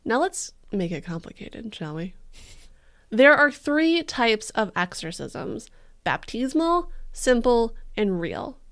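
A woman's voice: on the high side, big pitch swings, slow, a bit bright. A young woman co-hosting a casual true crime podcast, joking around and peppering her speech with "like". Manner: bubbly, joking, heavy use of "like". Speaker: podcast co-host.

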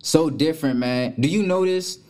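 A man hosting a podcast, from Florida, giving a hype, drawn-out intro and asking relaxed questions. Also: a little high. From Florida, USA. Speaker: podcast host. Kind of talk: casual interview.

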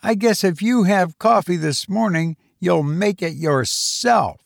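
A man's voice: high-pitched, unhurried, gravelly, no room sound, clean studio sound. A man voicing a blunt, indignant rural woman with a folksy, emphatic way of talking, in a storybook reading. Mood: curt, annoyed. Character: blunt, indignant rural woman, plainspoken neighbor recalling events.

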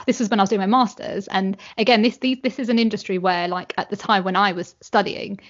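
An animated young woman chats as a podcast guest and keeps dropping "like" into her sentences. Her voice sits at a middle pitch, and the recording sounds slightly muffled. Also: brisk. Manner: animated, chatty, many fillers and "like"s. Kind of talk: casual conversation.

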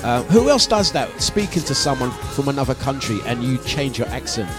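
A man with an East London accent hosting a radio breakfast show, giving casual shout-outs and jokey, self-mocking banter, laughing often. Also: medium pitch, sing-song, fairly quick. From England. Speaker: radio DJ host. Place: radio studio. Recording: music playing under the voice. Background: music bed.

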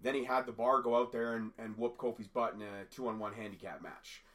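A man co-hosting a casual podcast, raspy from a cold, talking at length in an animated, rambling way. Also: mid-range voice, fairly quick. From Canada. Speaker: podcast co-host. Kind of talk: casual wrestling podcast chat.

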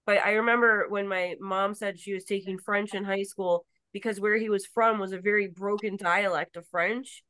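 A woman chatting on a podcast, recounting a family story and dropping "like" as she goes. Her voice is smooth and clear, and the recording is dry and close. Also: medium pitch, fairly even intonation, normal speed, hardly any pauses, fairly bright tone, dry recording. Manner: storytelling, with frequent "like". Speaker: podcast guest. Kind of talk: casual chat.

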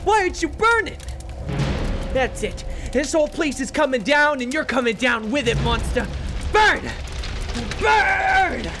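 An actor playing an anguished, guilt-ridden man in an audio drama, halting and overwrought. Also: very high voice, very animated, over music, full character voice. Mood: desperate fury.

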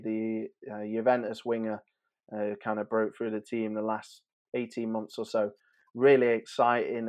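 A man in his thirties chatting informally and a little hesitantly as a podcast host previewing sport. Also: lowish voice. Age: 30s. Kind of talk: casual football preview chat.

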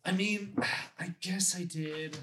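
A woman's voice: lowish voice, fairly even intonation, slow, a bit bright, a little hiss or hum. A put-upon boyfriend, acted by a woman in sketch comedy. He drags his words out, hesitant and reluctant, pleading in a whiny way. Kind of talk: comedy sketch table read.

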